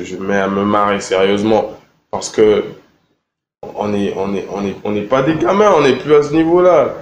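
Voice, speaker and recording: A young man from Congo answering interview questions in a relaxed, halting way, with many fillers and repeated words. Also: on the low side, slight background noise. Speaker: rapper interviewed. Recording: echoey room with faint ambience.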